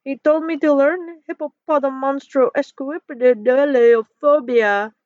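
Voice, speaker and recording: A woman's voice: on the high side, sing-song, measured pace, dry recording, highs cut off, voice pushed up. A woman voicing a childlike, dramatic, anxious young male frog in a story, with a whiny, theatrical manner. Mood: dramatic, woeful. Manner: whiny, theatrical, stuttering when scared.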